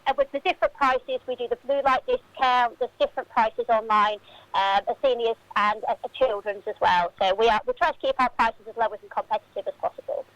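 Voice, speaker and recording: A woman's voice: a little high, brisk, a bit bright, dry recording, rough recording. A woman speaking as a football club spokesperson, giving answers in a radio interview.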